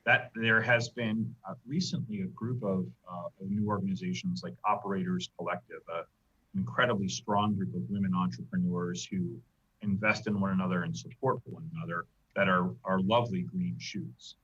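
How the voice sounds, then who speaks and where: Very deep husky male investor voice. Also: steady tone, a bit bright, dull audio. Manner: deliberate, pausing with "uh". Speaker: panelist, investor. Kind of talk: panel discussion.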